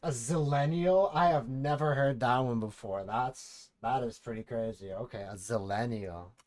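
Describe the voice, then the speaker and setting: A man's voice: mid-range voice, normal speed, a little mellow. A man in his late twenties, streaming and reacting to a video, chatting casually, thinking aloud and swearing. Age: late 20s.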